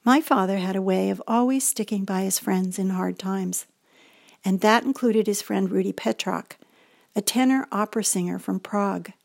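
Older American woman, reflective memoir narrator, a little gravelly, dry close mic. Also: medium pitch, normal speed, no echo. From United States. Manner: gentle, reflective storytelling. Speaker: feature presenter. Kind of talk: scripted personal narration.

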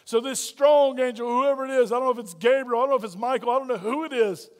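Loud folksy preacher, high voice, hall echo. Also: male voice, quick pace. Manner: loud, folksy preaching with playful asides to the congregation. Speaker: pastor. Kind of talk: sermon.

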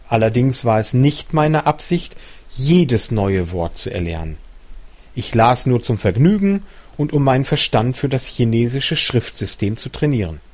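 A man reading a translated nonfiction book aloud as its narrator, at a measured, even pace with the odd self-correction. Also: medium pitch.

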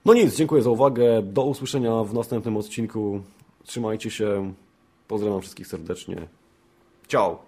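A man's voice: lowish voice, normal speed, a little mellow, husky. A man from Poland hosting a podcast in an informal opinion monologue, phrasing hesitantly with frequent rhetorical tag questions. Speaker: podcast host.

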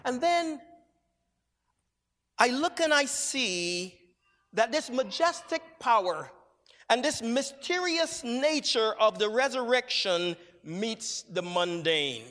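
High bright-voiced preacher from Barbados. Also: male voice. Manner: measured, reflective storytelling. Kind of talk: sermon. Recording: long hall reverb.

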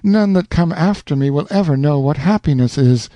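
A lovestruck young woman pours out her devotion in a raised, dreamy voice, as a man puts her on. The tone stays dark and close, with a dry, unreverberant sound. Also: sits low, expressive, moderate pace, no echo, pitched above the performer's own voice. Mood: rapturous. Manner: gushing, breathless devotion. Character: adoring young woman in love.

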